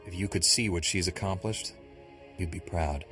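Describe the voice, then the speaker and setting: A low-pitched heroic knight for a sci-fi game played by a man. He sounds calm, resolute and confident, with an earnest delivery. Music is clearly heard behind him. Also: normal speed, lightly acted. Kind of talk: video game voice acting.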